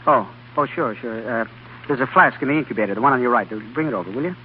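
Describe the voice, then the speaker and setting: An actor playing an obsessed, driven young scientist in an old-time radio drama, with a distracted, halting, intense manner. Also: male voice, mid-range voice, expressive, lightly acted. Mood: brusque, absorbed. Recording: dull, noisy vintage radio recording.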